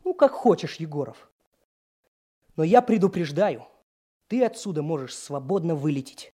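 An actor playing a brash, scheming young police trainee in a radio drama, talking in a persuasive, conspiratorial way. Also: male voice, fairly high pitch, animated, normal speed, warm tone. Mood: scolding, persuading.

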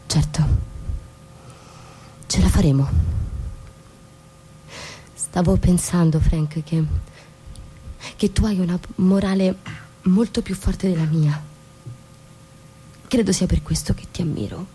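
An actress as a disillusioned wife in a marital stage drama. Her voice is dark and breathy, and she speaks in a hesitant, searching way that quietly challenges her husband. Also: on the low side, slow pace, pauses often, heavily acted, low-quality recording. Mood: subdued. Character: disillusioned, questioning young wife in a troubled marriage. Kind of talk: naturalistic stage drama dialogue.

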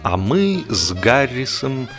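A man reading a humorous novel as an expressive audiobook narrator, with wry, theatrical phrasing. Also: mid-range voice, slow, faint music behind.

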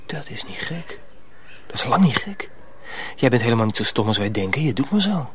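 A man playing an earnest, anxious young miller's apprentice in a radio drama, asking questions in a halting way. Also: mid-range voice, sing-song, close to an everyday voice. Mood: impressed. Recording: clearly noisy old radio recording.

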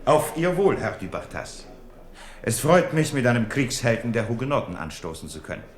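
A polished, condescending courtier, acted by a man, needles politely with very animated pitch. The radio play room is echoey. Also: moderate pace, close to an everyday voice. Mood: needling courtesy. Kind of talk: 1970s radio play acting.

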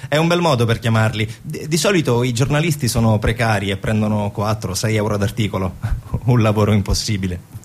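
A man with a husky voice giving scripted dramatic narration for a theatre piece. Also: fairly low pitch, a little fast.

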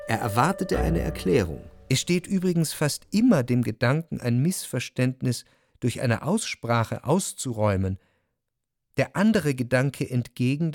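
A man's voice: mid-range voice, gravelly, darkish tone, dry recording. Reflective male narrator, close and dry. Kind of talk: scripted literary narration in radio drama.

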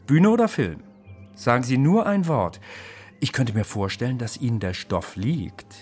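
An actor playing a Nazi ministry official in a radio drama, falsely courteous, smug and insinuating. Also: male voice, medium pitch, big pitch swings, unhurried, darkish tone, faint music behind. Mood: flattering, expansive.

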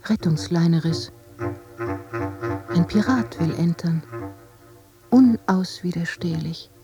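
An actress playing a possessive, mocking princess in a literary radio play, with a theatrical manner. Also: female voice, lowish voice. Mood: hushed, dreamy. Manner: theatrical, swooping between scorn and hushed musing. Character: possessive, mocking princess, wife of a fallen nobleman.

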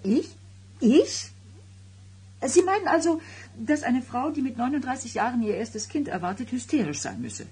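An actress playing an agitated, suspicious and sarcastic pregnant wife of thirty-nine in a German radio drama, with a biting, questioning delivery. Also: female voice, a little high, sing-song, moderate pace, dark tone, breathy edge, heavily acted. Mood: bitter, agitated. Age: middle-aged.

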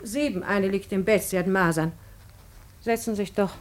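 A woman's voice: mid-range voice, normal speed, lightly acted. An actress playing a blunt, resentful, weary mother in a 1950s German radio play, curt and matter-of-fact. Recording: 1950s radio drama recording.

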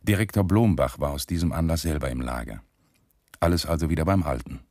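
A man's voice: a bass voice, fairly quick, darkish tone, no echo, studio quality. A male actor playing a wartime German regime loyalist, passing on news in a confiding, matter-of-fact radio monologue. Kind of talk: scripted radio play monologue.

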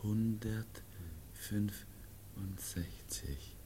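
A man in a radio drama playing a gentle teenage foundling learning to speak, in a soft husky voice with halting, childlike phrases. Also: fairly low pitch, in a whisper. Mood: hushed, effortful.

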